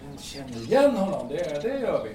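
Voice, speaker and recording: A man's voice: on the high side, sing-song. A man playing a friendly, plain-spoken young carpenter in an audio drama. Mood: casual. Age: young adult. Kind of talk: naturalistic audio drama acting. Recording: echoey, distant, faint noise with birds.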